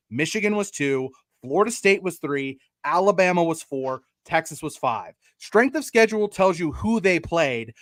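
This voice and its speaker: A man's voice: a little high, fairly bright tone, dry recording. A man co-hosting a sports podcast, arguing emphatically about college football in casual, sometimes profane language. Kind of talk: opinionated college football debate.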